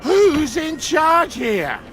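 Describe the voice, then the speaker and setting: A battered ex-soldier for a video game, with a very high-pitched, exclaiming delivery that swings widely. He is sweary, exasperated and blustering, as if everything has gone wrong at once. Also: sing-song, very slow, over music, full character voice. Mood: indignant. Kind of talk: video game cutscene acting.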